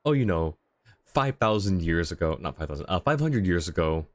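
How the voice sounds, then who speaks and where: A man livestreaming a game, casually commenting and joking with viewers in a halting, self-interrupting way. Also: low-pitched, big pitch swings, no room sound.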